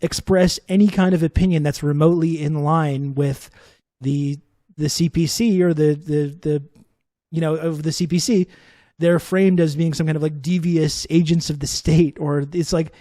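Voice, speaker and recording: A young man hosting a political interview, talking casually and haltingly with lots of fillers. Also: fairly high pitch, steady tone, mellow, dry recording, studio quality. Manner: casual, halting, many fillers like "like" and "you know". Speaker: host.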